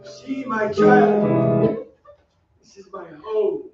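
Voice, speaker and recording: An actor playing a tormented, possessive and self-loathing masked composer in an old-time radio drama. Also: male voice, high voice, extremely slow. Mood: tender, obsessive. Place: theatre stage. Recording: echoey stage, distant, muffled, faint music.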